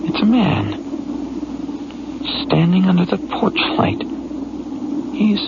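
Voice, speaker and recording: An uneasy husband in a radio horror play. His voice is gravelly and unhurried, muttering in broken phrases as he reassures and dismisses. The recording is muffled, with heavy noise all around him. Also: high voice. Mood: uneasy wonder. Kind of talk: radio horror drama acting.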